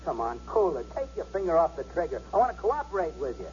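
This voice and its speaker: An actor playing a police lieutenant in a radio drama, talking urgently and coaxingly in colloquial, placating phrases. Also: male voice, on the high side, big pitch swings, quick pace, a little mellow, soft background music. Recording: dull, noisy 1970s radio broadcast.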